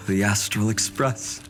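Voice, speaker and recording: A relieved young traveler in a fantasy game. He is a man with a lowish, unhurried, sighing delivery. Faint music plays underneath. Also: bright, forceful tone. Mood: relieved, nostalgic. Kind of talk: scripted video game dialogue.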